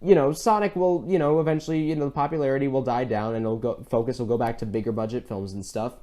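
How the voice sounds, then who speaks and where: A man's voice: medium pitch, steady tone, fast talker, warm tone. Man chatting earnestly as a podcast co-host. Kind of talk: casual film discussion.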